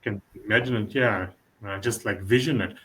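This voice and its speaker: A man hosts a podcast in a relaxed conversational style. His voice sits a little low. He chats informally, repeating short phrases as he goes. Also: normal speed, poor audio. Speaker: podcast host. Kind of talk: casual interview chat.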